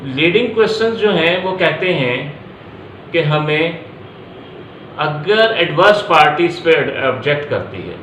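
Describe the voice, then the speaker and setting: A man's voice: fairly high pitch, very animated, measured pace, fairly bright tone. A man with a Pakistani accent giving an explanatory law lecture, restating each point step by step and mixing in English terms. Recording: echoey room, faint background noise.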